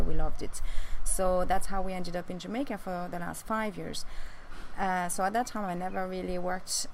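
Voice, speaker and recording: A woman in her forties, a native French speaker with a French accent, telling her life story casually to camera from a rooftop, halting with many fillers. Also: on the low side, gravelly, a little hiss or hum. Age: 40s. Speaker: vlogger. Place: outdoors on a rooftop.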